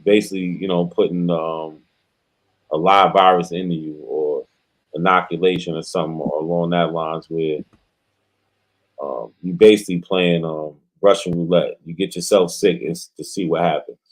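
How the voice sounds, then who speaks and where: Low-voiced man mediating calmly. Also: moderate pace. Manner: calm, explanatory, casual slang. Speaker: co-host acting as mediator. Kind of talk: casual stream discussion.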